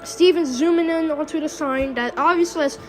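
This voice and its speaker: A woman's voice: sits high, brisk, faint music behind. A woman giving a casual, rambling recap as host of a reaction video, in informal, slangy language.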